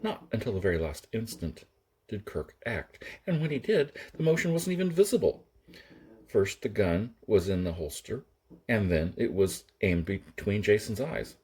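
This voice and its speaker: A man's voice: fairly low pitch, very animated, moderate pace, a little mellow, slightly rough. A man reading a story aloud as an audiobook narrator, in a dramatic storytelling style.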